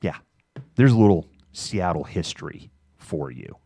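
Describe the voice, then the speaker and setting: Very deep-voiced middle-aged American man. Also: sing-song, gravelly, warm tone, husky, no room sound. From Massachusetts, United States. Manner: candid, informal, halting. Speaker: livestream news host. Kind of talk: casual monologue of personal reminiscence.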